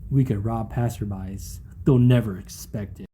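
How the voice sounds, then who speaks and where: A man's voice: fairly low pitch, normal speed, mellow, a little hiss or hum, close to an everyday voice. A man playing a greedy, sly hired bandit in an audio Bible drama, scheming in a casual way.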